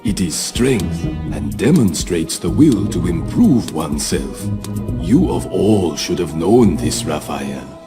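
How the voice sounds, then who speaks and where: A man voicing a wise, solemn old male rat sensei in a game cutscene, formal, measured and gently admonishing. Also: sits low, big pitch swings, heavily acted. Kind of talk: animated game cutscene acting. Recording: loud music behind the voice.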